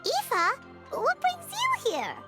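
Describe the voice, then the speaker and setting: Squeaky, extremely high-pitched little fairy companion. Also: female voice, huge pitch swings, slow, sharp tone, soft background music, heavily acted. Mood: startled. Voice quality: squeaky, childlike. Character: tiny childlike floating fairy companion, excitable and squeaky. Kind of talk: anime game voice acting.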